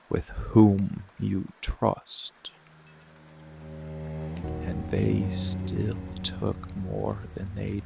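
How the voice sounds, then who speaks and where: A man voicing a dark, seductive, protective monster lover in an ASMR roleplay, speaking in a breathy, gravelly murmur with deliberate pauses. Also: fairly low pitch, full character voice. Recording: rain and music behind close voice. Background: soft music and rainfall.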